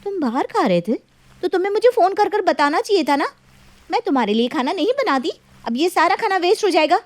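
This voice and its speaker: A woman's voice: extremely high pitch, sing-song, a little fast, no echo, pitched above the performer's own voice. A woman voicing a sensible, independent young wife who talks in a firm, scolding, assertive way in a story. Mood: exasperated, scolding.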